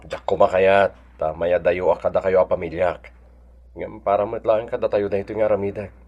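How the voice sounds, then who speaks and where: A man's voice: low-pitched, flat delivery, normal speed, lo-fi sound. A man acting an earnest, dutiful husband and family provider in a radio drama, sounding sincere. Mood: earnest, resolved.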